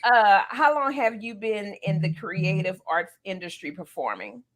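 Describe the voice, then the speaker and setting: A woman's voice: mid-range voice, expressive, a little slow. A woman hosting a casual talk show interview, speaking to guests in an encouraging, playful way.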